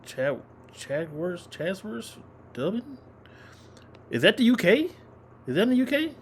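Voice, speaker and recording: A man's voice: sits high, sing-song, a little slow, a little hiss or hum. A man hosting a reaction video, talking casually and incredulously, often repeating himself.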